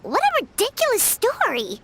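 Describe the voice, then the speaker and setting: A tiny, squeaky fairy sidekick from a fantasy game. The voice is childlike, very high and piercingly bright, with blunt, exclamatory delivery that swings widely in pitch. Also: female voice, huge pitch swings, moderate pace, a little hiss or hum, exaggerated voice. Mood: indignant, skeptical. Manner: refers to self in third person, exclamatory. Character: small childlike fairy companion, spirited, blunt and suspicious. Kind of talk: video game voice acting.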